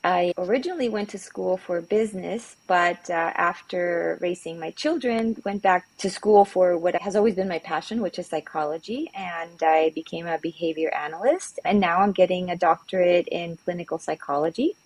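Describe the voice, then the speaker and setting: A middle-aged woman from California, a behavior analyst guest on a podcast, explaining ideas in a calm, measured way. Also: lowish voice, poor audio. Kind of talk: casual interview, explaining.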